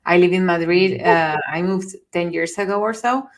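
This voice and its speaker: A woman from Venezuela introducing herself on an online panel in a steady, friendly way over a video call. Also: lowish voice, normal speed.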